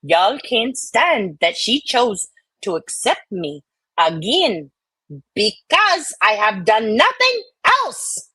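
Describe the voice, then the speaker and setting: A woman's voice: a little high, sing-song, slow pace, sharp tone, breathy edge, no room sound, pitched above the performer's own voice, exaggerated voice, low-quality recording. A woman voicing a brash, sassy, indignant Southern US woman who draws out her words as she rants in an online-drama reading.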